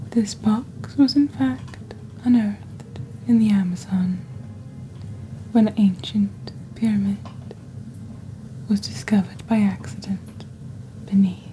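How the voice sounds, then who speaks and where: A young woman playing a composed witch who presents a shopping channel in soft, breathy, half-whispered ASMR. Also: mid-range voice, a little hiss or hum, close to an everyday voice, low-quality recording. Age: young adult. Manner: slow, deliberate, measured. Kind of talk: ASMR roleplay, scripted product presentation.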